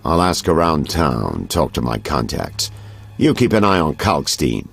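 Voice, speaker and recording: A man playing a shrewd, patient investigator in a video game, gravelly and given to giving orders. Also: very deep voice, sing-song, measured pace, fairly bright tone, full character voice. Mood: shrewd, advising. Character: shrewd, patient male investigator, confiding and directive. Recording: music behind.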